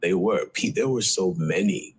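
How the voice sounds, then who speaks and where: A man in an interview clip, telling an animated story with plenty of "like" and asides. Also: deep voice, moderate pace, a little hiss or hum. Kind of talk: casual interview talk.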